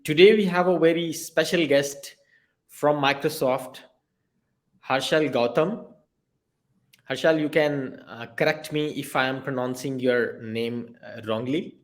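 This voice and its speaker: Brief: male podcast host, slow and unhurried, halting formal phrasing. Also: medium pitch. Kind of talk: hosting an interview.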